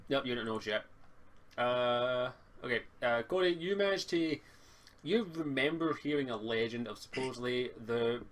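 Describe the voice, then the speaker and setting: A man improvising narration as a tabletop game master, speaking casually and haltingly, sometimes swearing, on an amateur online recording. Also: medium pitch, fairly bright tone.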